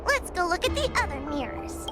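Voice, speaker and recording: A woman's voice: very high-pitched, sing-song, a little fast, loud music behind the voice. A woman playing a timid, polite young girl in a video game, anxious but earnest, speaking in a hesitant, questioning, childlike way. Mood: thoughtful. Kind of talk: video game character dialogue.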